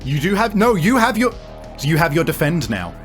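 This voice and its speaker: A man improvising narration as a game master, halting as he searches for words and swearing casually. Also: on the high side, very animated, fairly bright tone, faint music behind. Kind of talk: improvised narration of a tabletop role-playing game.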